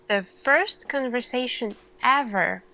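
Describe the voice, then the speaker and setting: A woman performing an ASMR sci-fi roleplay as an earnest, thoughtful lead scientist, speaking deliberately with pauses. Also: a little high, gravelly, pushed and bright. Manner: slow, deliberate, pausing, questioning delivery. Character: earnest, thoughtful female lead scientist addressing her team.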